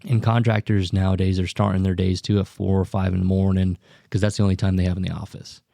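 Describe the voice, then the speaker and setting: A man's voice: low-pitched, flat delivery, fast talker, vocal fry, dark tone, no echo, clean studio sound. A young man, an equipment sales representative, chatting casually on a podcast, joking often with lots of fillers.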